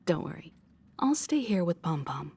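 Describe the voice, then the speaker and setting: A woman voicing a gentle, motherly crew member in a video game, speaking in a soothing, hushed manner. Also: deep voice, very animated, moderate pace, creaky, breathy edge, exaggerated voice. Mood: tender soothing. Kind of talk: video game character acting.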